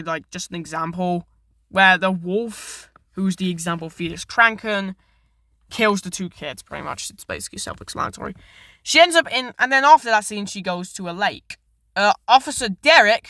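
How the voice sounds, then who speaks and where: A woman's voice: medium pitch, bright, forceful tone, dry recording. Rambling woman in a dry, close recording. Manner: rambling, self-correcting, enthusiastic retelling. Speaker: video host reviewing a horror series. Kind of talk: casual, unscripted video commentary.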